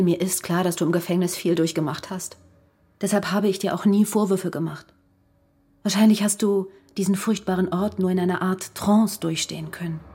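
An actress playing a strained, reproachful wife who keeps herself under control in a radio crime drama. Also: female voice, mid-range voice, a little mellow. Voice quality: husky, breathy.

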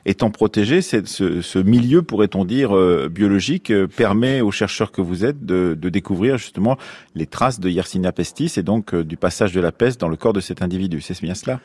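A man with a fairly low voice speaks as a radio interviewer in a dry studio. He builds long questions haltingly, pausing often as he works toward his point. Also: darkish tone, breathy edge, no room sound. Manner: halting, long-winded questions with many pauses. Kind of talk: interview on a history radio programme.

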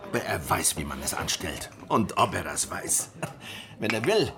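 An actor playing a weary, wary middle-aged veteran of lost uprisings in a historical radio drama, reflective and confiding. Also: male voice, low-pitched, big pitch swings, moderate pace, heavy background noise. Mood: amused.

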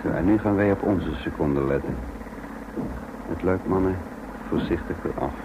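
A man's voice: deep voice, moderate pace, muffled recording, close to an everyday voice. A man playing the careful, commanding chief of a break-in team in a radio drama, terse and controlled. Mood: commanding, hushed. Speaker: narrator and lead character. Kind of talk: radio drama acting and narration. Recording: radio drama with faint background noise.

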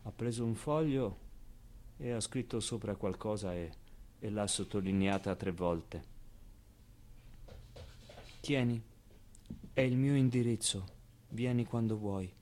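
An actor playing a naive, foul-mouthed, wistful orphan boy in an expressive theatrical monologue, with hesitant repetitions and pauses. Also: fairly low pitch. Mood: subdued, wry. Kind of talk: theatrical monologue, storytelling to an audience.

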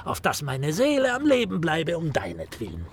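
Haughty, high-voiced sneering dog. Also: male voice, huge pitch swings, normal speed, slight background noise. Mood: declamatory. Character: hateful, sneering male dog reading a pamphlet aloud. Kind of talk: German radio drama acting.

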